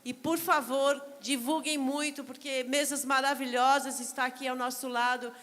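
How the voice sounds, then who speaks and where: A woman's voice: sits high, fairly even intonation, normal speed, fairly bright tone. A woman hosting a literary festival, making upbeat announcements to the audience. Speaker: festival host. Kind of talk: event announcements. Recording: hall reverb with crowd and noise.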